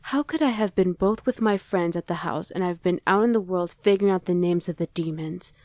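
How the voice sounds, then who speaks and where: Woman acting as a smug, mellow-voiced she-demon. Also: on the low side, brisk, dry recording, studio quality, lightly acted. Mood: scornful, impatient. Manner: haughty, taunting. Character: smug female shapeshifting crossbreed demon, scornful of mortals. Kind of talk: ASMR roleplay acting.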